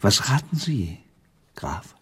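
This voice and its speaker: Casting: thoughtful radio-drama prince, low register, lively swooping intonation, formal and courtly, full of asides. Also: male voice, takes it slowly, husky, full character voice. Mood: grave. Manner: formal, elaborate, with inserted asides. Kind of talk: German radio drama acting.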